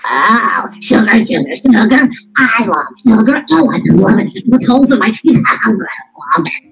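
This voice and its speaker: A woman storyteller voicing a greedy, rude witch with a raspy, cackling voice. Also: a little high, animated, normal speed, faint music behind, rough recording. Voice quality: raspy, gravelly.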